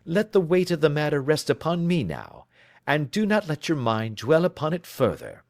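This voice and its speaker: A man playing a self-assured Victorian detective who speaks crisply and with authority. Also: fairly high pitch, huge pitch swings, moderate pace, darkish tone, dry recording, clean studio sound, voice pushed up. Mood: authoritative.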